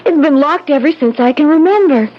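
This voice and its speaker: A very high-voiced girlish young bride. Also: expressive, brisk. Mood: uneasy. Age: young adult. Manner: girlish, pleading, hesitant. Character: timid, adoring teenage bride, girlish and easily cowed. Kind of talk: old-time radio drama acting. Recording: dull, noisy old radio broadcast.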